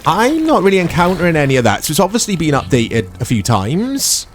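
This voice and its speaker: A man's voice: on the high side, wildly swooping pitch, brisk, slight background noise. A man giving casual, sarcastic gameplay commentary, chatting and laughing at himself as he plays. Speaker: let's-play commentator.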